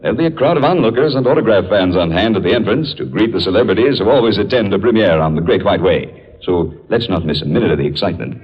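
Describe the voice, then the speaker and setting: Animated American man hosting vintage radio theater. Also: deep voice, fast, husky. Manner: polished, inviting showman delivery. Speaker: radio drama host and announcer. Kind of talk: vintage radio scripted narration. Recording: dull old radio recording.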